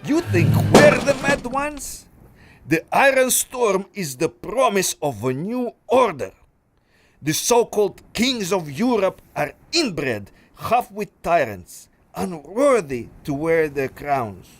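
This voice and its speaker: A man's voice: sits high, sing-song, no room sound, full character voice. A man playing a silky villain scientist. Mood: grandiose zeal. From Russia. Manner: smooth, silky, menacing, slow and deliberate. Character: suave treacherous male scientist villain, exiled aristocrat. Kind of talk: pulp adventure audio drama.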